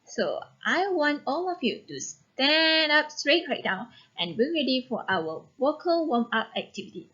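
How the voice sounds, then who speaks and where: A woman teacher leading a reading class, speaking step by step to pupils with expressive instruction. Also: high voice, unhurried, few pauses. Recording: dull lo-fi recording.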